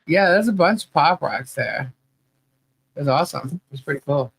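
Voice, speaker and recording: A woman's voice: sits low, animated, moderate pace, creaky, a little hiss or hum, low-quality recording. A woman hosting a casual livestream podcast, sounding nasal today and rambling with restarts and laughs.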